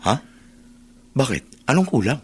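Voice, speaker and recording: A man with a Filipino accent playing a calm, reassuring confidant in a radio drama. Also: mid-range voice, unhurried, husky, full character voice.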